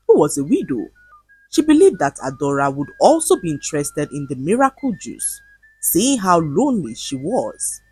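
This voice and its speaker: A woman narrating a folktale from a script in an expressive, dramatic storytelling manner. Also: low voice, slightly rough, no room sound, rough recording.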